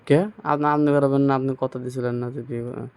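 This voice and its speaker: Man acting a mellow, insistent young Bangladeshi brother-in-law. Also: medium pitch, little pitch movement, fairly quick, creaky, clean tone, natural voice. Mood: complaining. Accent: Bangladeshi rural Bengali. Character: pleading younger brother-in-law pestering his sister-in-law. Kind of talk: amateur skit dialogue, colloquial.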